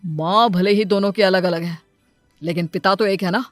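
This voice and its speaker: A woman's voice: medium pitch, fairly even intonation, normal speed, no room sound, natural voice. Woman playing an earnest young father, protective, cartoon story dialogue, background music. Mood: reproachful.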